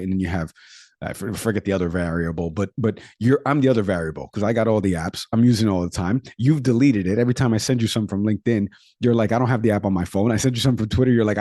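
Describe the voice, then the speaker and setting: Low, mellow-voiced Puerto Rican man. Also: dry recording, studio quality. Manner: conversational, rattles off statistics with frequent fillers. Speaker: podcast co-host; product manager. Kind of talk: casual podcast commentary.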